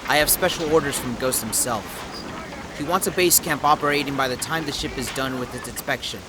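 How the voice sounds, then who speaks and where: A man's voice: medium pitch, brisk, close to an everyday voice. A man playing a defiant, scheming ex-lawman adventurer in an audio drama, with a sly, emphatic delivery. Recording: noisy, with rain and ambience behind.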